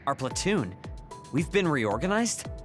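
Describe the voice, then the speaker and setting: An actor playing a gruff, irritable, skeptical young male soldier in an anime game dub. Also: on the high side, sing-song, a little slow, music in the background. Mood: skeptical, scolding.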